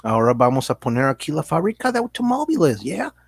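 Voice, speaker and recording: A man's voice: fairly high pitch, sing-song, brisk, gravelly, slightly rough, dry recording, studio quality, full character voice. A man playing a slick, evasive American businessman in a satirical radio drama, speaking Spanish with an American accent, hesitant and dropping in English words. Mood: persuasive, grandiose. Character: slick, evasive American businessman selling his multinational company.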